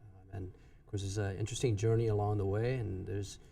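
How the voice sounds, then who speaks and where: A man from Vietnam answers as a bank manager guest, in a gravelly, mellow, low voice. He speaks hesitantly, pausing often on "uh." The mic sits a little away from him. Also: level tone, distant mic. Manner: hesitant, many "uh" pauses. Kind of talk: interview answers.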